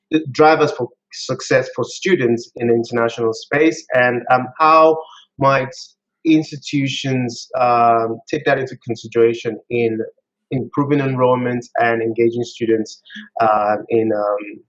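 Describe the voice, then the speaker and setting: Male interviewer, mid voice, halting delivery full of fillers; slightly muffled recording. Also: medium pitch. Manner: hesitant, many ums. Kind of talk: interview questions. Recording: slightly dull lo-fi audio.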